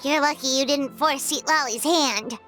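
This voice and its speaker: An actress voicing a tiny, cheeky, childlike fairy companion in a video game. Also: female voice, sits high, normal speed, sharp tone, soft background music. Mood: indignant.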